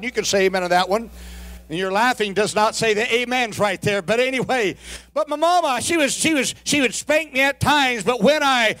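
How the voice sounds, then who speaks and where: High-voiced preaching man. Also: fairly bright tone. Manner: emphatic, calls out to congregation. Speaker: pastor. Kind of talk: preaching a sermon.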